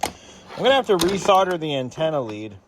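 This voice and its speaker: A man's voice: on the high side, big pitch swings, fairly quick, slight background noise. A man hosting a hobby repair channel, talking casually to viewers in a rambling, wry way with sarcastic asides.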